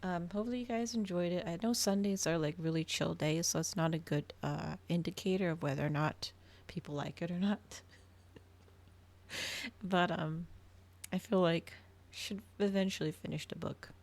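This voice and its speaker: A woman livestreamer chatting casually in a soft ASMR style, often dropping toward a whisper. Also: on the low side, steady tone, normal speed, vocal fry. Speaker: livestreamer hosting an ASMR reading stream.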